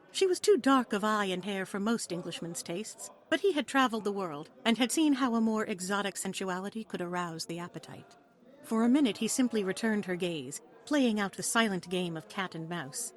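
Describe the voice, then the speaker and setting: Woman, medium pitch, dramatic story narration, scripted audio-play reading.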